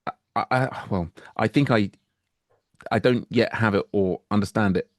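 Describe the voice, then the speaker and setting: A man hosting a podcast in casual chat, halting and restarting often, wandering into playful tangents. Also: on the low side, no room sound, clean studio sound. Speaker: podcast host.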